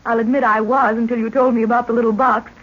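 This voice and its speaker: A woman's voice: on the high side, flat delivery, fast talker, breathy edge. An actress playing a curious, game young woman, the daughter of a professor, in an old radio adventure serial. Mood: plucky. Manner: plucky, questioning. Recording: muffled old-time radio recording.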